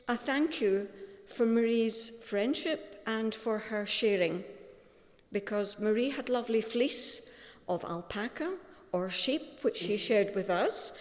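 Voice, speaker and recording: A woman with a Scottish accent giving a gentle, storytelling tribute at a funeral. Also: on the high side. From Scotland. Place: funeral chapel. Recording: long reverb of a large hall.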